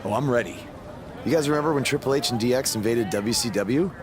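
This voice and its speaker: An actor playing a cocky, scheming indie wrestler in a video game cutscene, with an eager, persuasive delivery. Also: male voice, mid-range voice, fast talker, crowd noise, natural voice. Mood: confident.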